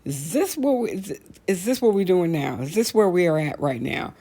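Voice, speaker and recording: A middle-aged American woman hosting a casual talk show, telling stories in a blunt, animated, colloquial way and repeating phrases for emphasis. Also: fairly low pitch, normal speed. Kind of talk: casual comedic rant with storytelling.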